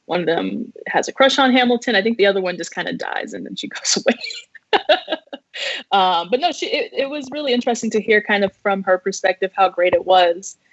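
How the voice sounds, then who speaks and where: A woman's voice: medium pitch, animated, brisk, dry recording, highs cut off. A woman co-hosting an entertainment livestream in casual, conversational chat, sprinkling her sentences with "like". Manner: conversational, peppers talk with "like". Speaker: co-host of an entertainment news live show.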